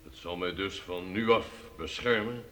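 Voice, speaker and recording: A grim castle lord with a low, hard-edged voice, curt and demanding. It is radio drama set in a long, echoing hall with faint noise behind. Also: male voice, normal speed, sharp tone. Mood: grasping. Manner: curt, demanding, hesitant grunts. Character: grim, suspicious, gruff castle lord knight. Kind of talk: old radio play acting.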